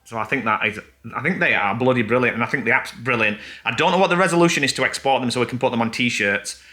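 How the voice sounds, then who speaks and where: A man's voice: mid-range voice, fast talker, pushed and bright, soft background music. A man co-hosting a vlog, chatting casually and enthusiastically to camera with plenty of fillers. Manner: rambling, enthusiastic, many fillers. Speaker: vlog co-host.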